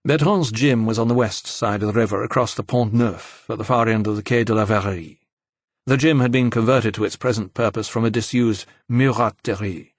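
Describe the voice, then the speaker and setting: A man's voice: lowish voice, brisk, no room sound. Male audiobook narrator, very dry recording. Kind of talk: scripted fiction narration.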